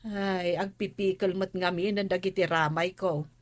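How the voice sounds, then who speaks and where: An actress with an Ilocano accent playing a bossy, complaining village wife in a radio comedy drama. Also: female voice, on the low side, fairly even intonation, unhurried, dry recording, natural voice.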